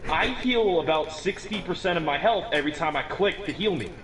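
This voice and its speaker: A man's voice: on the high side, expressive, a little fast, a crowd in the background, low-quality recording. A teenage boy explaining a game build in casual chat, rambling with many restarts and little chuckles. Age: teens. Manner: rambling, restarts often, chuckles at own remarks.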